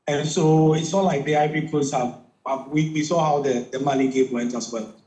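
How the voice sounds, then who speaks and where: A man's voice: a little high, fairly even intonation, mellow. Ghanaian man analysing football, echoey room. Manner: measured, conversational, with frequent restarts. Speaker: football pundit.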